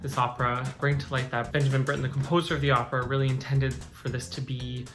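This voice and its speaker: A man's voice: medium pitch, level tone, quick pace, a bit bright, soft background music. A man, an opera singer, explaining his score preparation to camera in a thoughtful, explanatory way. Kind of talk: vlog talking to camera.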